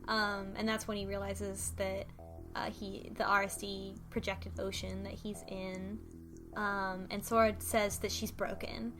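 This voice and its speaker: A woman's voice: mid-range voice, fairly bright tone, a little hiss or hum. A woman co-hosting a casual discussion, recapping plot in a thoughtful way with many fillers. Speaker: podcast co-host. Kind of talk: casual discussion of a visual novel.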